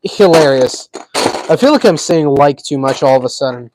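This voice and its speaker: A man's voice: a little high, lively intonation, normal speed, background noise. A man, a native Russian speaker with a slight Russian accent, hosting a casual livestream. He chats while building, laughs often and answers viewers' comments. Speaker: livestream host.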